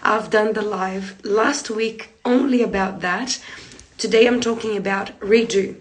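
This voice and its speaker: Hoarse, gravelly woman teaching live. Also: medium pitch. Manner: chatty, repeats points, gestures while talking. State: hoarse from a cold. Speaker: hair treatment educator. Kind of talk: live-streamed tutorial talk. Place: small room indoors, seated at a desk. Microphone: phone camera close in front.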